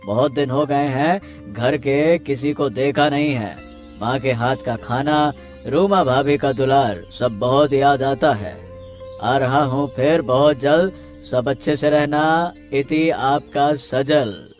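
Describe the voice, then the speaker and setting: A man voicing a kindly, cheerful figure in a Hindi cartoon village drama, speaking in a reassuring, measured way. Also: medium pitch, faint music behind, natural voice. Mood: fond.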